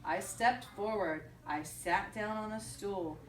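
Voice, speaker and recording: A woman reading a picture book aloud to young children, pausing to question them and repeat their answers back. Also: medium pitch. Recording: roomy echo, distant mic, faint noise.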